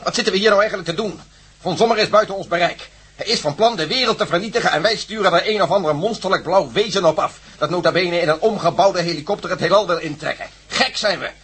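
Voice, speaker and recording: A man's voice: high-pitched, fast, a bit bright, breathy edge, full character voice. An actor playing an impatient, gloomy, doubting man in a radio play. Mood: bitter. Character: brisk, bitter, doubting man regretting his choices. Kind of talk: radio drama acting.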